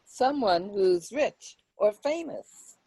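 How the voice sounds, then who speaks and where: A woman's voice: medium pitch, animated, slow, gravelly, warm tone, slightly rough, no room sound. A woman explaining an idea in a group discussion. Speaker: reading group participant.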